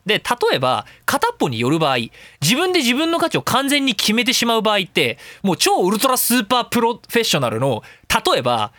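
A male radio host with a high, bright voice that swings widely in pitch. He talks in a casual, opinionated ramble, theatrical and self-mocking. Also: huge pitch swings, fairly quick, hardly any pauses, no echo, studio quality. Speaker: professional speaker and audio engineer.

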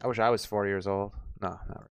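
A man's voice: lowish voice, steady tone, fast talker, clean tone. A man in his twenties hosting a casual livestream with informal, teasing banter. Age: 20s. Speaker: show host.

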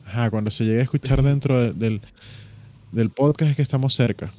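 A man co-hosting a casual podcast, teasing and telling stories in a relaxed way. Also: medium pitch. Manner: relaxed, teasing, drawn-out storytelling. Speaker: podcast co-host. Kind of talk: casual chat.

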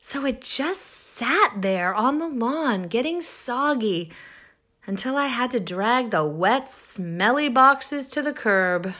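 An actress playing an exasperated, confiding woman in a comic first-person story monologue, with wry emphasis and dramatic pauses. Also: on the high side, expressive, bright, forceful tone, full character voice. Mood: wistful, sad. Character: exasperated, wryly confiding woman plagued by monsters and heartbreak. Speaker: actress reading a short story.

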